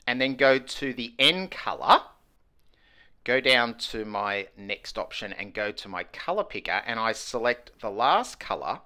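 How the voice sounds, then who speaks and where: A man's voice: medium pitch, pushed and bright. Upbeat man giving a tutorial. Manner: upbeat step-by-step explaining. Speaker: educational technology presenter. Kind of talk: screen-recorded tutorial walkthrough. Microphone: wired earphone headset mic.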